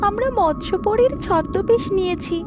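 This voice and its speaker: A woman's voice: very high-pitched, normal speed, over music. A woman voicing a timid, earnest young fairy girl disguised as a mermaid in a children's cartoon. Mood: excited, cautious.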